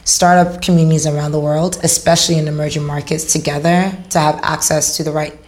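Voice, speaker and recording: A woman's voice: low voice, flat delivery, a little fast, a little mellow. A woman speaking conversationally in an interview as a startup founder, explaining her work. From Haiti.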